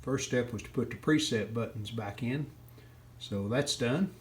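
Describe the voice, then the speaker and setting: A man giving casual, plainspoken hands-on narration as the host of a repair video, unscripted and pausing mid-sentence. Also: mid-range voice. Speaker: host of a radio restoration video channel. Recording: clear background noise, room ambience.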